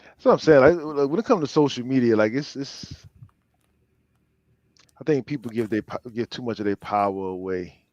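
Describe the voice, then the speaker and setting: A man's voice: mid-range voice, animated, moderate pace, long pauses, a little mellow, no room sound. A man chatting casually on a podcast panel, rambling and repeating his words as he works toward a point. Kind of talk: casual chat. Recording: dull, muffled, dry sound.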